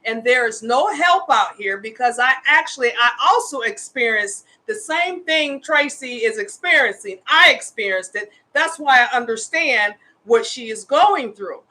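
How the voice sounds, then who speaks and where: A woman's voice: a little high, animated, measured pace, hardly any pauses, sharp tone, low-quality recording. A woman in her fifties hosting a casual live show, speaking with earnest, impassioned conviction as an advocate. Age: 50s. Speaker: host, homeless women's advocate. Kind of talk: casual livestream talk.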